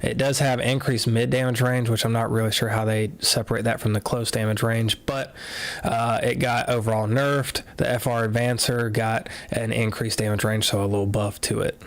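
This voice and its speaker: A man casually walking through game patch notes live, a little nasal after a cold, talking in a relaxed way with lots of fillers. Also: medium pitch, normal speed. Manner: relaxed, filler-heavy, offhand commentary. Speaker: gaming content creator.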